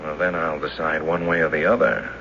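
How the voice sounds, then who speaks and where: A man's voice: extremely low pitch, flat delivery, a little fast, fairly bright tone. An actor in a 1970s radio drama playing an earnest, agitated ordinary man facing the supernatural, urgent and insistent. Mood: determined. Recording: dull, noisy 1970s radio recording.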